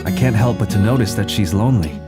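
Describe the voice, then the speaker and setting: A man's voice: on the low side, fast, natural voice. Man voicing an earnest teenage secret bodyguard over music. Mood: earnest, reflective. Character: teenage boy, secret bodyguard posing as meek student. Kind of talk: anime-style manga dub, acted dialogue and narration. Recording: music behind the voice.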